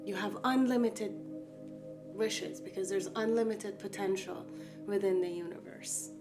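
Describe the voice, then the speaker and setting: Calm woman teaching over soft music. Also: medium pitch, a little slow. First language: Farsi. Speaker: art therapy instructor. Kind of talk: guided art lesson talk.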